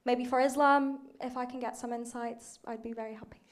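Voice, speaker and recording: A woman's voice: fairly high pitch, fairly even intonation, a little fast. A young woman from Turkey, a researcher in the audience, asking a chatty question with frequent "um"s.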